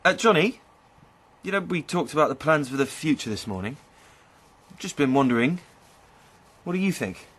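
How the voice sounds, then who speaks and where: A man's voice: on the high side, animated, normal speed, fairly bright tone. A man with an English accent playing an earnest, ambitious farmer in a radio soap. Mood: uneasy. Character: earnest, ambitious English farmer pitching his business ideas.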